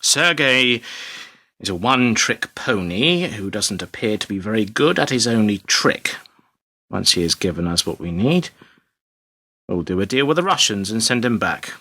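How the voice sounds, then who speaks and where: Radio play spymaster, male actor: bright, hard-edged voice, brusque, impatient, dryly sardonic. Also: on the low side, sing-song, normal speed, heavily acted. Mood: cold, sardonic. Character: brusque, cynical British intelligence chief.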